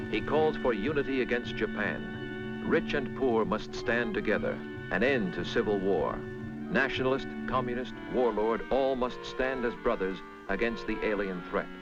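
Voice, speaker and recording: A man reading scripted 1960s documentary narration in measured, grave, clipped dramatic phrases. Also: on the low side. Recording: dull vintage film soundtrack, loud music. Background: loud musical score.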